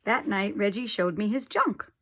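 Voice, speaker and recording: A woman's voice: medium pitch, animated, moderate pace, a bit bright. Woman reading a children's storybook aloud. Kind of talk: storybook read-aloud narration.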